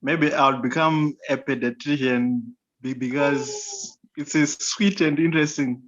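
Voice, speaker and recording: A man in his twenties with a Zimbabwean accent, a student speaking informally and a little haltingly. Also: on the high side, sing-song, a crowd in the background. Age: 20s. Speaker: medical student. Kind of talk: informal self-introduction. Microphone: video call. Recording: muffled internet call audio.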